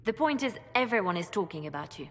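An actress playing a poised female ambassador who speaks in a gracious, measured, formal way. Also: mid-range voice, big pitch swings, in a large hall, lightly acted. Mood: composed. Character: poised female court ambassador, polite and diplomatic.

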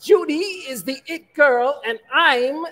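A woman's voice: high voice, a bit bright. A woman playing an elderly, folksy widowed granny, coy and cheeky, in a 1940s-style radio comedy. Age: older. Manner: coy, folksy, quick with punchlines. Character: elderly gossipy widow, cute cheeky neighborhood know-it-all granny. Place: live stage in a museum hall.

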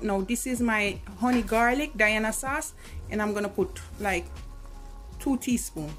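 A woman with a Jamaican accent, casually talking viewers through cooking in her home kitchen, calling out to them often. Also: on the high side. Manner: chatty, keeps calling to viewers. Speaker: cooking vlogger. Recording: sizzling pan and kitchen noise behind.

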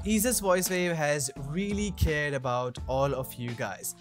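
A man narrating a software tutorial in an upbeat, salesy way as a video presenter. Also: fairly high pitch.

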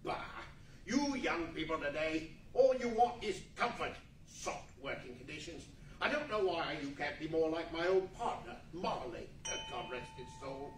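A feisty, stingy old man, acted by a man grumbles and scolds through a radio drama. His voice is high and jumps around in pitch. It comes from across an echoey room. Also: big pitch swings, a little slow. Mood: scolding, crabby. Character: feisty, crabby, miserly old man, a business owner. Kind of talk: stage readers' theatre as 1940s radio play. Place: large indoor meeting room with seated audience. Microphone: far camera. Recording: echoey hall from far off, faint noise.

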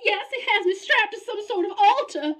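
An actress playing a frightened, pleading damsel in distress in a melodramatic old radio serial. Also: female voice, expressive, moderate pace, a bit bright. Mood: panicked. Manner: shrill, melodramatic. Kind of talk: 1940s radio serial acting.

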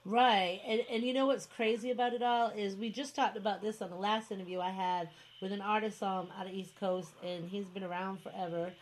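A woman's voice: mid-range voice, fairly even intonation, brisk, hardly any pauses, slight background noise, poor audio. A woman hosting an online radio show who talks in a chatty, rambling way, full of fillers like "you know what I mean". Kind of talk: casual interview chat.

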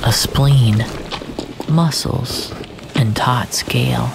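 A man narrating a scripted story in a measured, solemn, literary way, often breathy and dropping toward a whisper. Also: mid-range voice, very noisy. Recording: narration over a music bed. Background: music.

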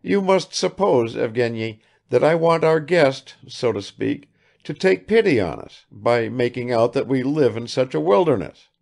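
A man's voice: medium pitch, expressive, a little slow, gravelly, darkish tone, pitched above the performer's own voice. A man reading aloud and voicing an eager, garrulous, good-natured old country father who chatters too much.

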